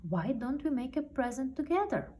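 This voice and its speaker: A woman with a non-native accent voicing an excitable, eager young male cat from a storybook. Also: high-pitched, moderate pace, recorded from a distance, a little hiss or hum, voice pushed up.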